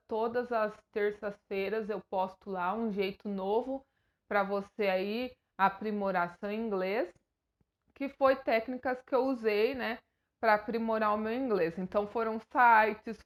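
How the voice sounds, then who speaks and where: Brazilian woman vlogger, earnest and halting, mid-range voice, lots of fillers. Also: medium pitch. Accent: Brazilian Portuguese. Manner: hesitant, many fillers and restarts; earnest, emotional. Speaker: YouTuber. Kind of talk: personal vlog to camera.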